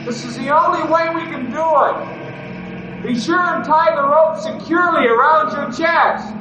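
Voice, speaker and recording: A man's voice: very high-pitched, unhurried, muffled recording. A man playing an eager, earnest young rescue sidekick in an old-time radio adventure. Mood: urgent, instructing. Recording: echoey, noisy old radio recording.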